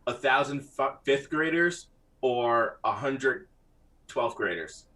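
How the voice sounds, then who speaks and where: Young man, bright voice, lively, teasing, dry jokes. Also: medium pitch, slow. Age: 20s. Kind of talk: casual group chat.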